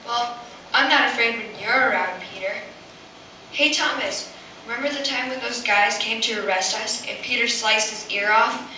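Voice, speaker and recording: A woman's voice: fairly high pitch, normal speed, bright, forceful tone. A woman playing a goofy, joking male disciple in an amateur puppet show. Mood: earnest. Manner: goofy, dim wisecracking. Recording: very echoey, distant mic, clicks and noise.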